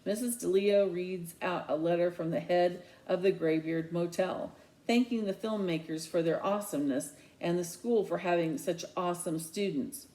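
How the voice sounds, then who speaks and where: A man's voice: high-pitched, normal speed, darkish tone, natural voice, low-quality recording. A woman voicing a resentful, sarcastic middle-school boy in a children's novel read aloud. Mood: bitter, sarcastic. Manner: indignant, sarcastic.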